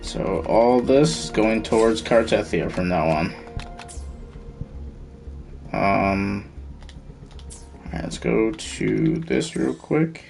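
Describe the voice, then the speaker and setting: Male game streamer, slow informal thinking-aloud commentary, creaky voice, faint music behind. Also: on the low side, animated, a little mellow. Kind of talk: casual live gameplay commentary.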